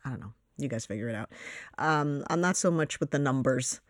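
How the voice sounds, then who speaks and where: A woman's voice: very deep voice, a little fast, no echo, clean studio sound. A woman co-hosting a casual film podcast, teasing and blunt, laughing often. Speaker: podcast co-host.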